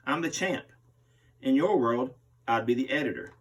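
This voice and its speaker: A man's voice: medium pitch, lively intonation, moderate pace, breathy edge. A man reading a magazine interview aloud as a host, voicing the interviewee's part. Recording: distant microphone.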